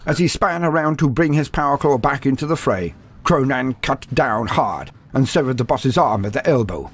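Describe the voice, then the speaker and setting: A man's voice: mid-range voice, expressive, normal speed. A man giving a scripted, dramatic narration of fantasy war lore. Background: music.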